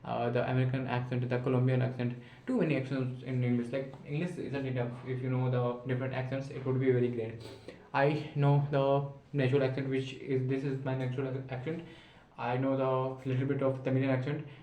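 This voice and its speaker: A man's voice: mid-range voice, little pitch movement, brisk, warm tone, a little hiss or hum. Nineteen-year-old man, unscripted self-talk vlog, rambling and self-encouraging, echoey roomy recording. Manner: rambling and self-encouraging, thinking aloud with frequent restarts. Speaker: vlogger practising spoken English.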